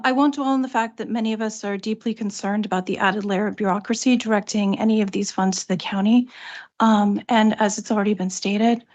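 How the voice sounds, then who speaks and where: A woman's voice: mid-range voice, darkish tone, dull audio. A young woman with a cold and a fading voice, earnestly reading a prepared public comment at a meeting, with frequent ums. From Cape Cod, Massachusetts. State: has a cold, losing her voice.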